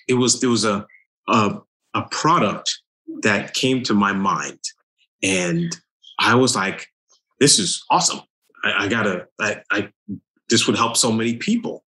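Bright-voiced man telling a hesitant story. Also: lowish voice, low-quality recording. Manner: hesitant, many fillers and restarts while telling a story. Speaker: guest. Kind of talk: casual conversation.

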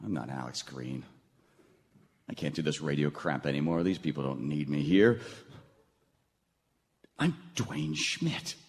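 An actor playing a radio money-show host turned romantic hero in a comedic radio play, with theatrical delivery. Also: male voice, rumbling low, animated, a little slow, vocal fry, pauses often, a little hiss or hum, full character voice. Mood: weary. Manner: theatrical, declaiming. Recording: live theatre hall, long reverb.

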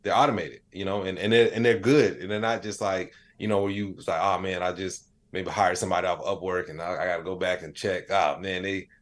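A man's voice: low voice, fairly even intonation, a little fast, hardly any pauses. A man speaking as a podcast guest in a casual, rambling, slangy conversational style. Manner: rambling, slangy, frequent "you know what I'm saying". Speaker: podcast guest, AI expert and comedian.

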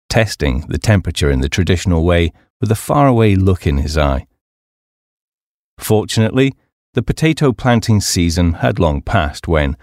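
A man with an English accent reads a humorous memoir as a scripted audiobook, in a measured, expressive storytelling manner. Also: low-pitched, no echo, studio quality. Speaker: audiobook narrator.

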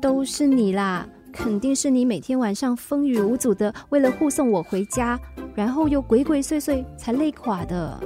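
Perky, high-voiced Singaporean daughter. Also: female voice, lively intonation, normal speed, dark tone, faint music behind. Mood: worried. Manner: perky, fussing, nagging affectionately. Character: cheeky, doting, fussy young daughter. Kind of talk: Mandarin radio drama acting.

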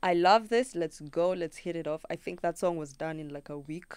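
A woman's voice: lowish voice, normal speed, clear, resonant tone, no room sound. A young Kenyan woman, a singer-songwriter guest, chatting casually in an interview and acting out her own thoughts as she tells stories. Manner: animated storyteller who acts out her own thoughts in quotes.

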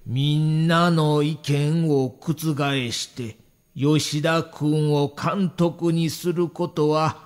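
Solemn executive, in a reverberant hall. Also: male voice, a little high. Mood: heavy, resigned. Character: solemn senior male baseball club executive making statements. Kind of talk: dramatized audio documentary.

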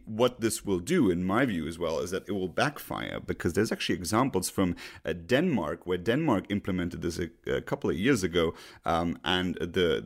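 A man hosting a podcast in casual discussion, speaking haltingly with many ums, uhs and restarts. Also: low voice. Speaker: podcast host.

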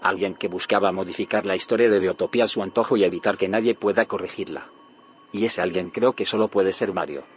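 An actor playing a grave, secretive scientist in an audio drama, solemn and explanatory. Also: male voice, deep voice, fast talker, slight background noise, natural voice. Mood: grave, convinced. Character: grave, secretive male scientist haunted by failed timelines. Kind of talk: audio fiction drama acting.

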